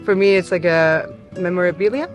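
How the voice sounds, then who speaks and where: A woman's voice: on the low side, a little fast, lo-fi sound, over music. A woman from France, a DJ and singer, talking easily in a casual interview and laughing often. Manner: easygoing, chatty, laughs often. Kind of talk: casual chat.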